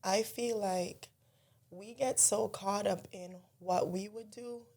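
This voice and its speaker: A woman's voice: medium pitch, slow. A woman from Jamaica, a podcast guest telling her life story in earnest, casual conversation. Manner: earnest, storytelling, animated when recalling childhood.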